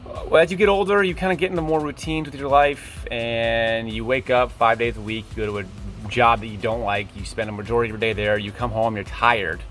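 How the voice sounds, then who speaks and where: A man's voice: medium pitch, steady tone, a little fast, hardly any pauses, fairly bright tone, faint music behind. A man of twenty-eight talking casually as a vlog host, rambling and self-deprecating as he wanders into tangents. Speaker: YouTube creator and host.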